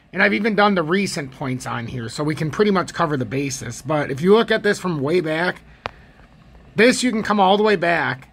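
An American man with a gravelly, husky voice giving casual, rambling, opinionated chart commentary as a trading video host. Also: sits high, fairly bright tone.

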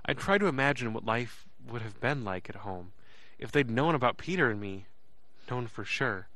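A man's voice: medium pitch, lively intonation, sharp tone. Hesitant, earnest teenage boy. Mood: reflective, uneasy. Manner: halting, hesitant, repeats words. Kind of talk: first-person audio drama narration and dialogue.

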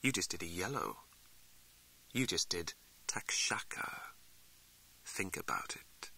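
A man's voice: low-pitched, very animated, slow pace, gravelly, bright, forceful tone, slightly rough, full character voice. A man voicing a suave, scolding older male mentor in an audiobook, arch, courtly and condescending. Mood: insistent, knowing. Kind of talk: audiobook character dialogue.

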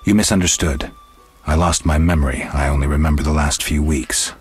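A stoic, world-weary monster slayer in a fantasy video game. The voice sits extremely low, with terse, deadpan delivery. Faint music runs behind it. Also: male voice, brisk, slightly rough, full character voice. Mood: flat, reserved.

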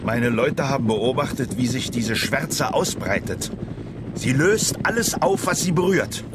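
An actor playing a grave, commanding army general with a clipped manner in a children's sci-fi radio play. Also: male voice, medium pitch, lively intonation, a little slow, lightly acted. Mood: ominous. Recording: helicopter noise behind the voice. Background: helicopter noise.

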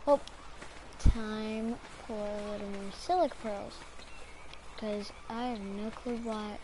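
A woman with a hoarse, strained voice from a sore throat, rambling casually as she comments on her own gameplay. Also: medium pitch, steady tone, slow, background noise. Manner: rambling, talks to herself while playing. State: hoarse, recovering from strep throat. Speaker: gamer.

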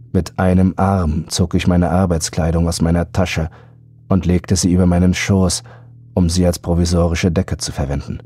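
A man's voice: sits low, warm tone, slightly rough. A man reading a scripted first-person horror story as an audiobook narrator. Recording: background music under voice.

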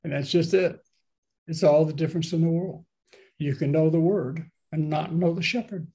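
A pastor in his seventies talks through his sermon from a small home office, speaking into a webcam on a video call. His voice sits fairly high but sounds mellow and warm. He rambles casually and often turns to his listeners with questions. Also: fairly even intonation, moderate pace, rough recording. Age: 70s. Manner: conversational, rambling preaching with asides and questions to listeners. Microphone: laptop webcam on a video call.

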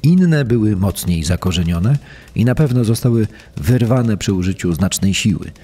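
A man as a husky, mellow forensic investigator. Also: on the low side, animated, normal speed. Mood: sober, clinical. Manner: formal, clinical. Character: male forensic investigator reading his sober report. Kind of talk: scripted documentary reading.

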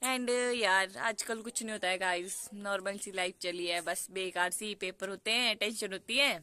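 A woman's voice: mid-range voice, normal speed, bright, forceful tone. A young woman with a Pahari accent, vlogging outdoors on a hillside with a phone at arm's length, chatty, giggly and teasing. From Himachal Pradesh, India. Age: young adult. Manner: giggly, teasing, talks straight to viewers. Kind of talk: casual chatty vlog. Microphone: phone camera held at arm's length.

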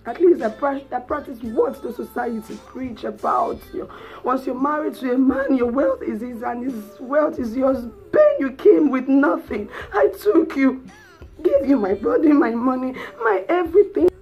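A woman with a Nigerian accent playing a betrayed, heartbroken wife in a dramatic monologue, speaking deliberately with heavy pauses. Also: mid-range voice, lively intonation, soft background music, rough recording. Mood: anguished, tearful. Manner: deliberate, heavy pauses, swinging from hushed to anguished. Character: betrayed, heartbroken wife confronting her deceitful bigamist husband. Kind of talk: dramatic solo acting monologue.

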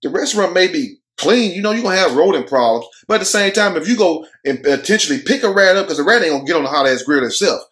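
Male American commentary host, thirties, slightly high voice, slangy, profane and joking. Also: lively intonation, quick pace, hardly any pauses, fairly bright tone. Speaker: online commentary show host. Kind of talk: casual opinionated commentary to camera. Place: furnished room at home.

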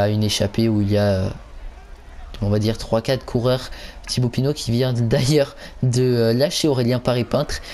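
A man's voice: mid-range voice, darkish tone, slight background noise. A man giving casual commentary on a video game, hesitant and stumbling, often repeating himself. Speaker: gaming channel host.

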